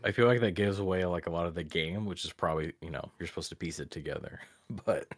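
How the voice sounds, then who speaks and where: Casting: young male podcast co-host, very low voice, dry close sound, loose rambling delivery. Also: fast, no room sound. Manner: rambling, heavy on "like" fillers. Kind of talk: casual group reaction chat.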